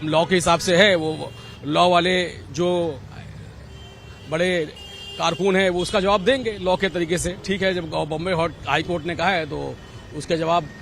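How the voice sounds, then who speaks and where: Emphatic high-voiced man, Indian accent. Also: fairly even intonation, moderate pace, vocal fry, fairly bright tone. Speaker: member of the public interviewed. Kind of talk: street interview answers. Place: outdoors on a city street. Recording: outdoor street, faint traffic noise.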